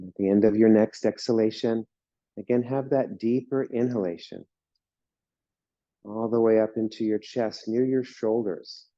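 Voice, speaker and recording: A man guiding a yoga class through slow breathing and relaxation, speaking in calm, soothing instructions. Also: fairly low pitch, dry recording, lo-fi sound. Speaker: yoga teacher.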